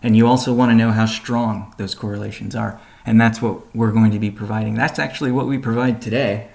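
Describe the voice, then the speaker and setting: A man presenting a company update on a conference call, explaining in a measured way with deliberate pauses. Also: on the low side, fairly even intonation, creaky, darkish tone.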